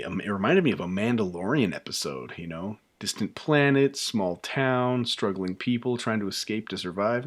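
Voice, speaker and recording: A man's voice: deep voice, husky. A man hosting a live reaction stream, chatting casually with lots of fillers and restarts. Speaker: YouTube host reacting to a show.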